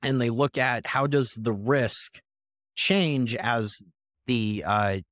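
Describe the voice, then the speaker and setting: A man around thirty speaking as a podcast guest, explaining research in a measured, conversational way with pauses between phrases. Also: mid-range voice, a bit bright, no room sound. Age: 30s.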